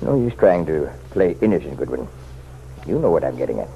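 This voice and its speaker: A muffled, slightly hissy vintage radio recording carries a nosy small-town man with a deep voice. He is folksy and chummy on the surface, insinuating and persuasive underneath, and his pitch swings in a lively way. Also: brisk, creaky, breathy edge, exaggerated voice. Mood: sly, needling. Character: insistent, insinuating townsman, nosy self-appointed helper to the sheriff. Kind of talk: old-time radio drama acting.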